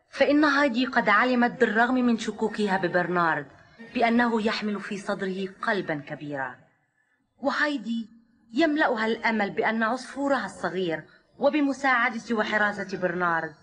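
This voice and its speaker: A woman with a mid-pitched voice reads scripted narration for a dubbed children's cartoon. Her delivery is gentle and storytelling. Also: lively intonation, moderate pace.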